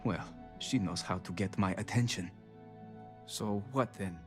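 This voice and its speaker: A man's voice: sits low, a little slow, soft background music. An actor playing a proud, bitter, middle-aged father, haughty and theatrical, in a science fiction video game. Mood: wary, cold. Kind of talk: video game character dialogue.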